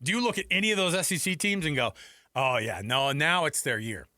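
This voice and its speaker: A man's voice: a little high, animated, fairly quick, bright, forceful tone, dry recording. An American man hosting sports radio, talking casually and conversationally on a close studio mic, with rhetorical questions and asides. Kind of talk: casual sports talk commentary. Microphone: close studio headset mic.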